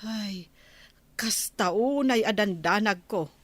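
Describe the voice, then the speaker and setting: A concerned villager in an Ilocano radio drama. She speaks slowly at a middle pitch, in a dry, close recording. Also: no echo. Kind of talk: Philippine radio drama acting.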